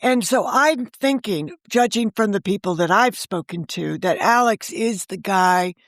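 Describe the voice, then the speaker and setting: A woman's voice: medium pitch, measured pace, dry recording, studio quality. Chatty woman in her sixties. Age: 60s. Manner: chatty, animated, talks over the host. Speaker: novelist and podcast creator, guest. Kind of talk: casual interview chat.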